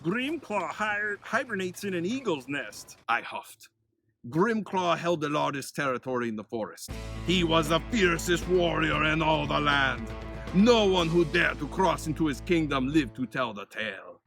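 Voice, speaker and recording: A man tells a children's story in a bright, fairly high voice. His narration is expressive and swings up and down, with soft music behind it. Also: moderate pace, gravelly.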